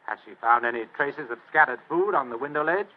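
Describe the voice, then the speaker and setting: An actor playing a pompous, lazy older British gentleman, sardonic and languid, in a 1940s radio drama. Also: mid-range voice, animated, brisk, lightly acted. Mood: amused, probing. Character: pompous, lazy older gentleman, senior Foreign Office official. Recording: tinny old-time radio broadcast.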